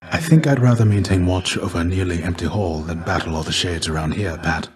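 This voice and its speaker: A man acts out a gentle, battle-weary warrior shade from a video game, a mentor with a very low, soft-edged voice. He speaks in measured, courteous phrases with an old-fashioned formality. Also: lightly acted. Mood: weary, relaxed. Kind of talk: video game character acting.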